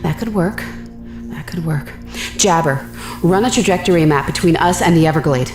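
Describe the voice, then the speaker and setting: An actress plays an anxious but determined young female ship captain in a space audio drama. Her rather low voice rings in a roomy echo over music, urgent as she works things out aloud. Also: normal speed, natural voice. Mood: urgent planning.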